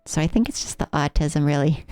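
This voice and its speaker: A woman's voice: deep voice, a little fast, darkish tone. An American woman with a slight speech impediment and a trained, affected silly voice, casually commentating a game as a live streamer, musing and easily amused. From United States, Rocky Mountain area. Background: video game music.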